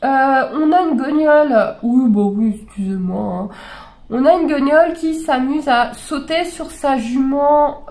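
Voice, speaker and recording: A woman in her forties with a fairly high, slightly hoarse voice talks straight into a desk webcam in a small bedroom. Her delivery is exasperated and incredulous, full of fillers and restarts. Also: darkish tone. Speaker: horse channel host reacting to videos. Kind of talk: casual reaction commentary. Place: small bedroom with gaming chair.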